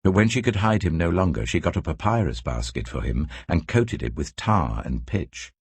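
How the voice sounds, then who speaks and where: Male Bible narrator, gravelly low voice, measured dramatic storytelling, scripted reading. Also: animated, no room sound.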